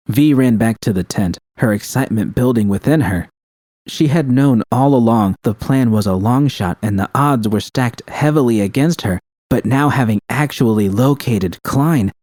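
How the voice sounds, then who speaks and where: A man's voice: medium pitch, a little mellow, no room sound. A man reading scripted fiction as the narrator.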